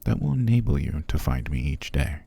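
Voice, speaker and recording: A man playing a cruel, haughty, possessive vampire master in an ASMR roleplay, with a gravelly, breathy voice and a condescending, commanding manner. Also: extremely low pitch, big pitch swings, mellow, full character voice. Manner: slow, condescending, commanding, drifting into whispers. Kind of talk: ASMR roleplay, scripted character monologue.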